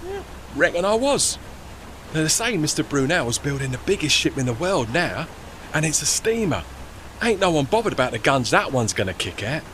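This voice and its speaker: Man playing a plucky, high-voiced steam tugboat. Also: big pitch swings, normal speed, a bit bright, slight background noise. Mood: cheeky pride. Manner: colloquial, folksy, non-standard grammar. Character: plucky working-class male steam tugboat, cheeky and proud. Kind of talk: audio drama acting.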